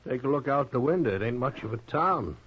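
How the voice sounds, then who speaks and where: A man playing a slick, persuasive American gambler in an Old West radio drama, talking smoothly to win people over. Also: medium pitch, expressive, brisk, a little hiss or hum, close to an everyday voice. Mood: confident. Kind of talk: 1950s radio western acting. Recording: muffled vintage radio recording.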